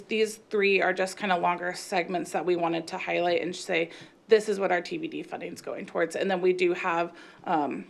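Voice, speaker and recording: A woman's voice: on the low side, vocal fry. An American woman on city staff explaining plans and answering questions in a meeting.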